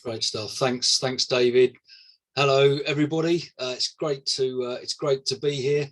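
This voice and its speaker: A man's voice: mid-range voice, steady tone, normal speed. A middle-aged man, president-elect of a professional body, opening an online session in a conversational way with light jokes and frequent restarts over a video call. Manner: warm, lightly joking, with repeated restarts. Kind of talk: conversational speech to a webinar audience.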